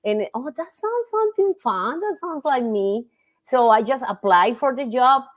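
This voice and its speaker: A middle-aged woman from Costa Rica with a Costa Rican Spanish accent, a native Spanish speaker talking about her teaching in a casual interview, often pausing to search for words. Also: high voice, lively intonation. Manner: warm, searches for words, frequent fillers.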